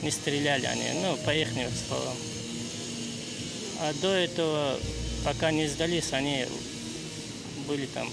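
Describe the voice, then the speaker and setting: A man, a volunteer soldier giving halting, reserved interview answers in short broken phrases. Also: fairly high pitch, creaky, low-quality recording. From Azerbaijan. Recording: loud music bed under the voice.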